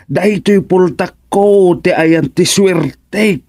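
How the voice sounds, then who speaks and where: A man playing a jovial, teasing father in an Ilocano radio comedy, with an Ilocano accent and drawn-out exclamations. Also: high voice, darkish tone, no room sound, clean studio sound, heavily acted.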